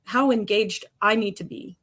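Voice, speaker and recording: A woman's voice: medium pitch, normal speed, a bit bright. A woman guest on a construction podcast, with a commanding voice, speaking emphatically and laughing lightly between points. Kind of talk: podcast interview answers.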